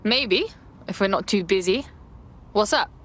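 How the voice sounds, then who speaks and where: An actress playing a confident, streetwise resistance hacker in a video game, with a London accent and slangy, casual delivery. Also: female voice, medium pitch, animated, measured pace, a bit bright, a little hiss or hum. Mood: cool, assured. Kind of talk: conversational video game dialogue.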